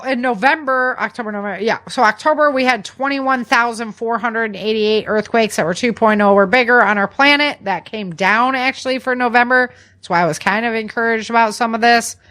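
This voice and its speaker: A woman's voice: fairly high pitch, normal speed. Casual, folksy woman podcast host. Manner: animated, folksy, informal asides. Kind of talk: casual news chat to listeners.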